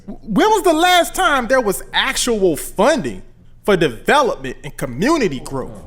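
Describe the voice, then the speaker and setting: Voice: mid-range voice, wildly swooping pitch, unhurried, fairly bright tone, heavily acted. An actor playing an outraged, defiant housing project resident, confrontational and indignant, in an audio drama.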